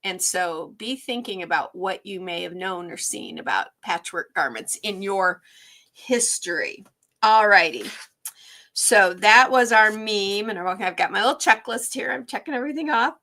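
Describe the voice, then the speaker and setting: A slightly hoarse woman hosting a live online quilting workshop, chatty and upbeat, with frequent ums. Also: mid-range voice, animated, normal speed, bright, forceful tone.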